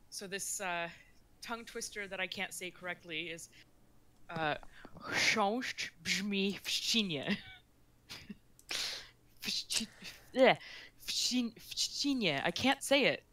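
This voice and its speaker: A woman's voice: fairly low pitch, expressive, takes it slowly, pauses often, bright, forceful tone. A woman from Canada chatting casually on a stream, swearing freely and laughing often. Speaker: stream co-host. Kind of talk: casual chat.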